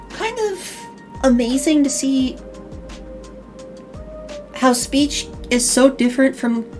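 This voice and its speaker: A woman host with a slight Chicago accent, chatting animatedly on an online talk show, often restarting her words. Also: sits high. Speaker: podcast host. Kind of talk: casual online chat show.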